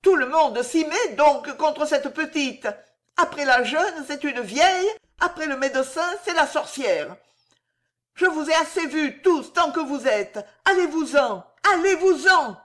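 A woman's voice: a little high, big pitch swings, measured pace, fairly bright tone, voice pushed up. A woman doing a fierce, scolding peasant woman. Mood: angry. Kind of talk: audiobook reading.

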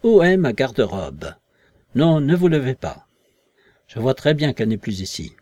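A man's voice: medium pitch, wildly swooping pitch, a little slow, warm tone, dry recording. A man voicing an invisible man who threatens his visitors and demands his clothes back, in firm, clipped commands. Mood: commanding.

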